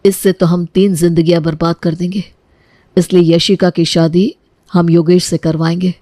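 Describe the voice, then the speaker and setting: A woman voicing a selfless, solemn husband and elder brother in a moral story cartoon, speaking in an earnest, measured way. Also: fairly low pitch, little pitch movement, warm tone, no echo, clean studio sound, voice pushed down, natural voice. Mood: solemn, resolved. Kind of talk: acted dialogue in Hindi moral story cartoon.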